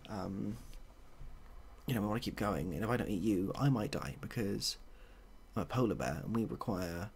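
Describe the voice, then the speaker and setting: Man as a low-voiced, mild polar bear. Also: moderate pace. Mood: mild, pondering. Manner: hesitant, deadpan. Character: mild, matter-of-fact male polar bear. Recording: clearly audible background noise.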